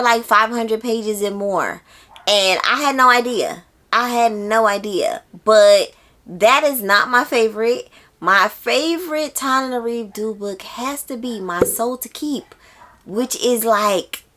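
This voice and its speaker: A woman's voice: medium pitch, fairly bright tone. A woman hosting a casual book review video, talking to viewers in an emphatic way and repeating phrases for emphasis.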